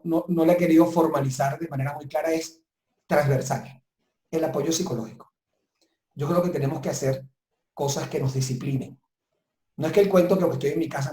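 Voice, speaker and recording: A man's voice: fairly high pitch, mellow. A man speaking as a guest consultant in a webinar interview, giving advice in an emphatic, reflective way. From southern Italy. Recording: roomy, echoing sound.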